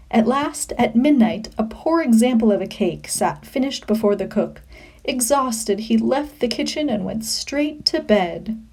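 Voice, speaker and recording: A woman reading a children's story like a teacher, with expressive, deliberate phrasing. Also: a little high.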